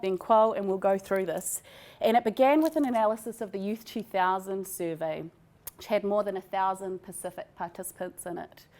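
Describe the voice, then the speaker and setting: A woman researcher with a New Zealand accent gives an academic presentation. Her pitch is middling for a woman, and she talks in an informal, conversational way, as if explaining her own study. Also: a little mellow.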